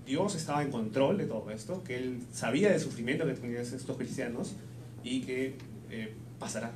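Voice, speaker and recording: A man's voice: medium pitch, moderate pace. A man interpreting a sermon live phrase by phrase, a little hesitant and rephrasing as he goes. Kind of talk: consecutive live interpretation of preaching. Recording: echoey room with clear background noise.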